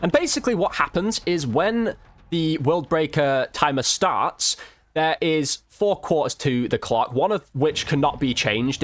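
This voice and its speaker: A man's voice: medium pitch, dry recording. A man giving casual, slangy gameplay commentary as a video creator, thinking aloud as he goes.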